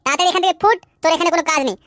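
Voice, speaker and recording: A man's voice: very high voice, racing, pushed and bright, no room sound, clean studio sound. A man voicing a menacing, taunting hired thug in a comedy cartoon. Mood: taunting, threatening. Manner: taunting, coarse, threatening. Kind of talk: Bengali comedy cartoon dubbing.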